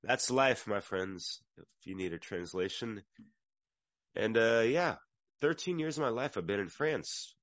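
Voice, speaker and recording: Male panel speaker, deep and a little hoarse, chatty, halting delivery; lo-fi audio. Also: a bit bright, no room sound. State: slightly hoarse from little sleep. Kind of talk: casual reflective talk. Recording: dull lo-fi audio.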